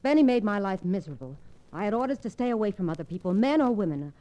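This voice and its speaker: A woman playing a guarded young widow, a femme fatale in a 1940s radio mystery, controlled and measured in her delivery. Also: medium pitch, sing-song, dark tone, close to an everyday voice. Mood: cool, threatening.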